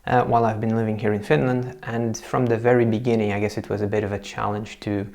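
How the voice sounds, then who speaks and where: A young man talks to the camera from a small room, explaining how he studies a language. His voice sits low and has a gravelly, creaky edge. He hesitates often, stacking fillers and restarting phrases. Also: flat delivery, fast, darkish tone. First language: Portuguese. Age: young adult. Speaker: language learner and video host.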